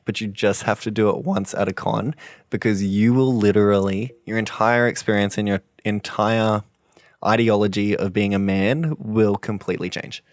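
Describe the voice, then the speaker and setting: A young man with a very deep voice, co-hosting a podcast and talking passionately with lots of fillers. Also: fairly even intonation, normal speed, dry recording, clean studio sound. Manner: passionate, rambling, many fillers. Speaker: podcast co-host. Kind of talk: casual podcast chat.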